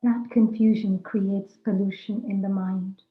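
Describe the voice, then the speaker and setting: A woman leading a guided meditation talk, speaking deliberately in short, measured phrases. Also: medium pitch, level tone. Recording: roomy echo, dull lo-fi sound, music. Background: music.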